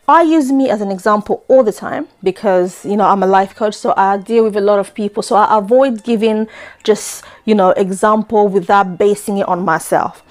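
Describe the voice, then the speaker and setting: Middle-aged woman host, earnest life-coach talk, mid pitch, soft music bed. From Democratic Republic of Congo. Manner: earnest, repeats words, frequent "you know". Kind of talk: talk-show monologue.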